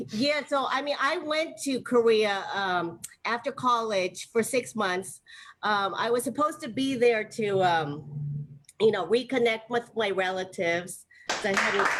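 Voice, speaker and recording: A woman's voice: on the high side, fairly bright tone, poor audio. A young American woman from Ohio doing chatty stand-up storytelling at an online open mic, with lots of fillers and frequent laughs. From Ohio, USA. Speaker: stand-up comic. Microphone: video call. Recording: faint background noise, video call.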